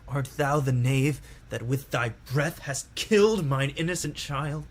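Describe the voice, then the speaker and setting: A man's voice: mid-range voice, a little slow, close to an everyday voice. A man playing a grieving, indignant old nobleman and father in a Shakespeare radio play, speaking with bitter, wounded deliberation. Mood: bitter, accusing.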